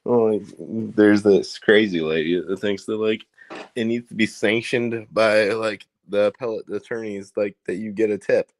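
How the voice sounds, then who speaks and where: A man with an Indiana accent hosting a casual livestream, talking in a rambling, profane, excitable way. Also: on the low side, moderate pace, dry recording. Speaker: livestream host.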